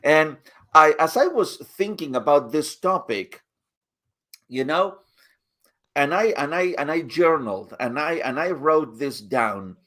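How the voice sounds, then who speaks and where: A man's voice: on the high side, animated, unhurried, a bit bright. A man hosting a motivational live talk, speaking earnestly and often repeating his phrases. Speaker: host.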